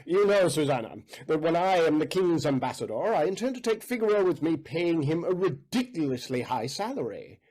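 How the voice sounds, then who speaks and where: A lecherous, pompous count acted by a man in a stage period comedy. He wheedles in florid phrases, his husky, somewhat high voice rising and falling with great animation. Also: sing-song, brisk, hardly any pauses, a little mellow, low-quality recording. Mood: wheedling, pompous.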